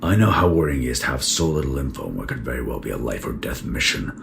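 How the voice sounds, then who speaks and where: A man playing a stern, guarded captain of space troopers in an audio drama, with a gravelly voice. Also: extremely low pitch, sing-song, quick pace, background noise, heavily acted. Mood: grave, confiding.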